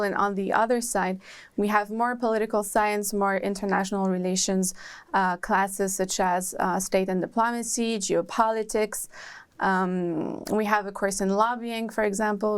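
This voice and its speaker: A woman's voice: mid-range voice, clear, resonant tone. A young woman student from Morocco answering interview questions in an articulate, composed way.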